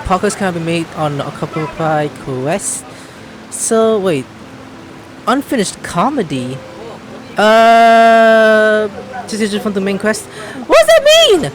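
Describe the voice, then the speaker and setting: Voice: medium pitch, big pitch swings, slow, noisy background. A game streamer giving casual, rambling commentary, thinking aloud while playing. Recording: game music behind the voice.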